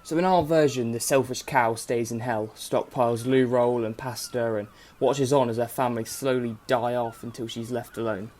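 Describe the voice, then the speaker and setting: A flippant, self-aware radio play narrator, acted by a man. He addresses the listener casually and informally, with an average male pitch. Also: steady tone, moderate pace, hardly any pauses, a little mellow, natural voice. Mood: chatty, flippant. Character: chatty, irreverent narrator addressing the audience. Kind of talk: chatty direct address to listeners.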